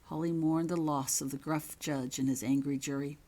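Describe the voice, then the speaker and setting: A woman reading her own fiction as a scripted, dramatic story narration, in measured, suspenseful short phrases. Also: deep voice, steady tone, mellow.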